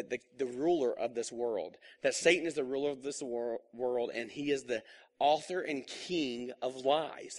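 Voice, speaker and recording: A male pastor delivering a casual, conversational sermon, joking at times and rising into emphatic bursts. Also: medium pitch.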